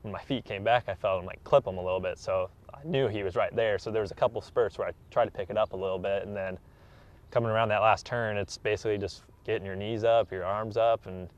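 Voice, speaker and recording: A man's voice: fairly low pitch, fairly even intonation, fast talker, dull audio. A young American man in his twenties, an athlete giving a casual interview in an earnest, modest way. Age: 20s. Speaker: track athlete being interviewed.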